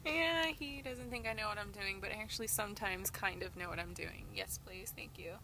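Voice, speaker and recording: A young woman filming a casual road trip vlog, teasing and excitable, recorded on a handheld phone outdoors and in a car. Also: medium pitch, brisk, a little hiss or hum. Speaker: vlogger. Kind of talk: casual travel vlog chat.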